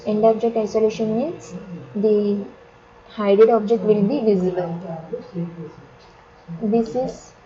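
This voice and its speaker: A woman instructor walks through software steps at a slow pace. She speaks haltingly, restarting and repeating phrases. The sound is dull and lo-fi, with plenty of chatter from other people in the background. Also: mid-range voice, steady tone. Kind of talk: step-by-step screen tutorial on drafting software.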